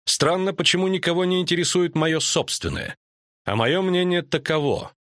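Man voicing a measured, imperious queen. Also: sits high, lively intonation, a bit bright, no room sound, clean studio sound, voice pushed up. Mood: icy, sardonic. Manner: measured, through clenched teeth. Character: haughty cool queen, sardonic and imperious.

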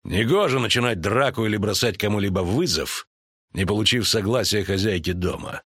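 A man voicing a calm, stern islander lord with a sullen, cutting manner in a fantasy audiobook. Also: lowish voice, normal speed, husky, no room sound, studio quality.

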